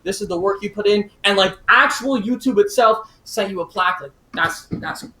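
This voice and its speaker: An excited young man chatting as a guest, animated and leaning heavily on "like". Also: high voice, moderate pace. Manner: excited, animated, heavy use of "like". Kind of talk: casual interview chat. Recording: echoey room with faint noise.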